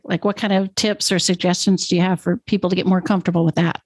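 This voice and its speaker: A woman's voice: fairly low pitch, level tone, quick pace, dry recording. A woman hosting an interview, attentive and giving short affirmations while she asks questions. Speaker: host.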